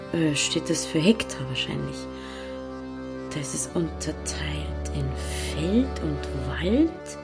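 An actress playing a feisty, curious young village innkeeper with a Bavarian accent, blunt and natural in an audio drama. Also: female voice, on the low side, heavily acted.

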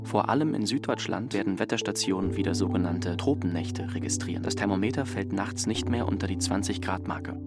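Radio play bit part: sober weatherman, deep voice, formal bulletin over prominent guitar music. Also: fairly quick, gravelly, few pauses. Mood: matter-of-fact. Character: male radio weather forecaster, matter-of-fact.

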